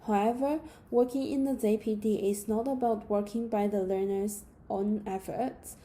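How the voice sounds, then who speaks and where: A young woman from China, a native Mandarin speaker with a Chinese accent, reading out a prepared class presentation in a steady, careful way. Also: mid-range voice, normal speed. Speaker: student presenter.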